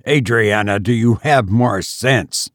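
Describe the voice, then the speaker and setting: Man doing a grumpy angry dad, slow and rough, dry sound. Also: fairly low pitch, no room sound. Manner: growling, rough, resentful. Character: gruff, angry middle-aged father.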